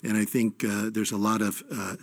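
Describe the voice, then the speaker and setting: A middle-aged man with an American Midwestern accent giving an informal, earnest campaign speech in a halting way. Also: lowish voice, level tone, no echo. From Michigan, United States. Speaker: candidate for university trustee.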